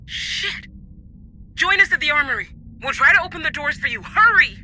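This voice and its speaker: An actress playing a harried, blunt and loyal military comrade, speaking urgently in a sci-fi horror game. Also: female voice, high-pitched, lively intonation, moderate pace, pushed and bright, slight background noise, heavily acted. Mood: frantic.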